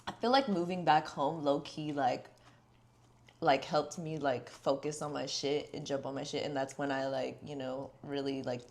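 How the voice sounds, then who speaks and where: A woman's voice: low voice, fairly even intonation, moderate pace. A young woman hosting a podcast, chatting candidly, swearing freely and filling her talk with "like". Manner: candid, swears freely, heavy filler words. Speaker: podcast host. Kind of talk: casual podcast chat.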